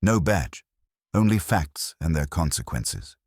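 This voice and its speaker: A man plays a coldly logical detective in a mystery audiobook, with an extremely low, husky voice. He speaks formally and accusingly, his pitch swinging with emphasis. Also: very animated, measured pace, a little mellow, no echo, studio quality, full character voice. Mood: stern, probing. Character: commanding, coldly logical male detective confronting suspects. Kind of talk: audiobook detective story dialogue.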